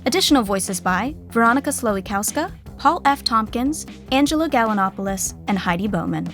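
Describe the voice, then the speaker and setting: A woman's voice: a little high, animated, brisk, a bit bright, soft background music. A woman narrating a scripted sitcom in the present tense.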